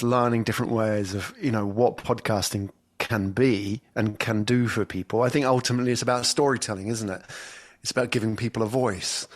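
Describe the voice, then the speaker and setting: A man with an English accent, a podcast guest speaking remotely in a thoughtful, storytelling way. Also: medium pitch. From England. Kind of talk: conversational interview. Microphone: remote call from afar.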